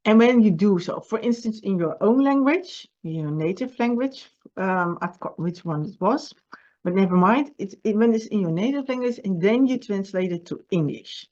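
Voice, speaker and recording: Woman, Dutch accent, mid pitch, earnest, halting, repeats herself, slightly dull recording. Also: expressive, darkish tone. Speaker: publisher. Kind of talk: personal video message with writing advice.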